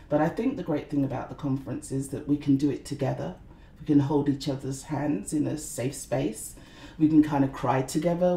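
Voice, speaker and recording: A middle-aged woman talks as an interview guest, earnest and reflective. Her voice is gravelly and mellow. The room around her sounds echoey. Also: deep voice. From London, England. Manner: earnest, frequent "you know" fillers. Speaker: guest, blogger and conference organiser.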